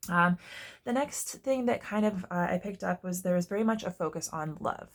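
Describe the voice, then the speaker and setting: Stuffy hoarse lady doing a chatty tarot reading, lowish gravelly voice. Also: normal speed. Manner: chatty, stops to search for words. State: congested and hoarse. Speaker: tarot reader. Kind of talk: casual spoken tarot reading to viewers.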